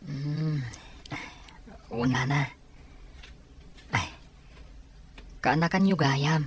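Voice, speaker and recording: A man plays a sincere young Ilocano suitor in a radio drama. His voice is very husky and rough-edged, and he speaks with patient respect. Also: a little high, drawn-out words, full character voice. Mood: respectful, pleading.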